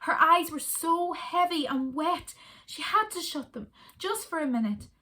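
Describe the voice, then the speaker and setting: A woman reading a children's story aloud in an expressive, dramatic way with deliberate pauses. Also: very high voice.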